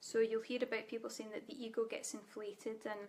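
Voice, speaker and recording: A Scottish woman leading a live online spiritual training, speaking softly and calmly, guiding listeners with frequent ums. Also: on the high side, fairly quick. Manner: soft guided-meditation delivery with fillers. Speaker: spiritual teacher.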